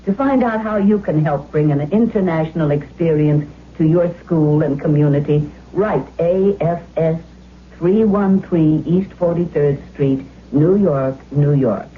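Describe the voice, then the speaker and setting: On a dull radio recording with faint hum, a woman reads a scripted public service announcement. Her voice is fairly low and her delivery informational. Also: unhurried.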